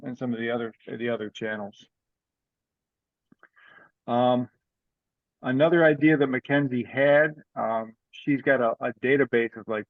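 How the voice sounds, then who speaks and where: A man chairing an informal online meeting, giving updates in a halting way with repeated words. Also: mid-range voice, no room sound. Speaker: meeting chair of an amateur radio club group. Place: online video call. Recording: slightly dull video-call audio.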